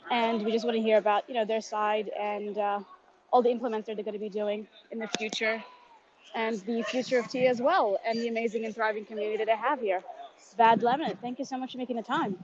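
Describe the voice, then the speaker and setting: A woman's voice: fairly high pitch, fairly even intonation, fast talker, crowd noise. A woman hosting a casual live session and introducing a guest, over muffled online audio. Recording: dull, faintly noisy online audio room.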